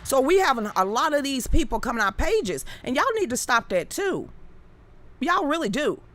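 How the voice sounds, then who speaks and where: A woman's voice: sits high, very animated, moderate pace, a bit bright. A woman, ranting bluntly and heatedly to her live-stream viewers with plenty of cursing. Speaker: live-stream political commentator. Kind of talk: unscripted live-stream rant.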